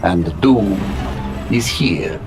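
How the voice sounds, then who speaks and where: A man's voice: low voice, animated, drawn-out words, over music, heavily acted. A man voicing an imperious, grandiose villain mastermind in an audio drama, with pompous, clipped, theatrical delivery. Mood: commanding.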